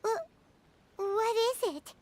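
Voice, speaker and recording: A woman voicing a tiny, excitable, curious fairy girl in a video game, with a squeaky, childlike voice and eager exclamations. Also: slow, a little hiss or hum. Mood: puzzled, curious. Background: game music.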